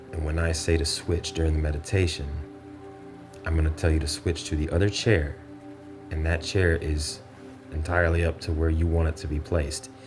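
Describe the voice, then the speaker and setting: Very deep-voiced man guiding meditation. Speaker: meditation guide. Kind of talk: guided meditation.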